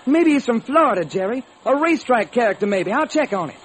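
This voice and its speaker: An actor playing a wisecracking, exasperated police homicide lieutenant in an old radio detective drama, with a husky, slangy, grumbling delivery. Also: male voice, very high-pitched, very animated, quick pace, slight background noise. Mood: wry, speculative.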